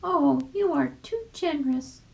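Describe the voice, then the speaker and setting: A woman voicing a tiny, sensible red ant in a storybook, small and squeaky, polite and matter-of-fact. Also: a little slow, gravelly, a little mellow, rough recording. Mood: grateful.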